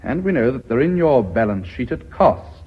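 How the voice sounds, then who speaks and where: An actor playing a smug, calculating business aide in British radio drama, precise and smugly polite. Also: male voice, medium pitch, sing-song, moderate pace, breathy edge. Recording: slightly dull radio drama recording.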